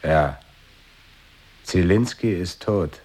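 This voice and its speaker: A man's voice: deep voice, drawn-out words, a little mellow, slightly rough, heavily acted. An actor playing a terse, insistent man in an avant-garde radio play, speaking in short, repeated phrases. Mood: flat, insistent. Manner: short clipped lines, repetition.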